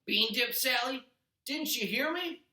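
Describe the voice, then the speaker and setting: A man's voice: extremely high pitch, lively intonation, measured pace, pushed and bright, pitched above the performer's own voice, full character voice. A man voicing a boorish, demanding, suspicious stepfather in a storybook read-aloud. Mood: yelling, impatient. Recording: roomy echo.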